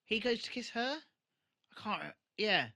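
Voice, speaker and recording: A man's voice: high-pitched, lively intonation, moderate pace, creaky, pushed and bright. A British man co-hosting a podcast, chatting casually and bluntly about an episode. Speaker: podcast co-host. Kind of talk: casual episode review chat.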